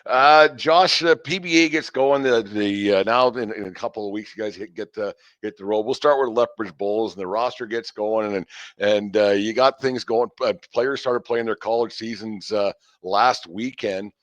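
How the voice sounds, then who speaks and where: A man's voice: fairly low pitch, moderate pace, fairly bright tone. An older man hosting a casual sports podcast in a folksy, rambling, talkative way. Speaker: host of a baseball team podcast. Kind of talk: casual sports interview and chat. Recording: dull, band-limited sound.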